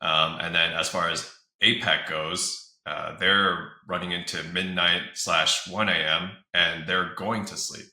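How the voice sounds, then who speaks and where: A man's voice: low voice, steady tone, unhurried, few pauses, bright, forceful tone. A man giving casual interview answers as an esports organizer, recorded in a room with some echo. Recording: roomy echo.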